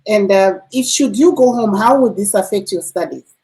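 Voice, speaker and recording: A woman hosting a radio interview, asking measured questions with occasional uh fillers. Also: medium pitch, dark tone. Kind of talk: broadcast interview.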